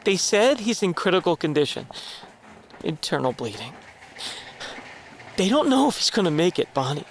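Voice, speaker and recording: An actor playing a troubled, earnest man in an audio drama, confiding in a halting way. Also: sits high, very animated, a little hiss or hum. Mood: troubled, uneasy. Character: troubled, earnest man shaken by fear for his father. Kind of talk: naturalistic audio drama acting.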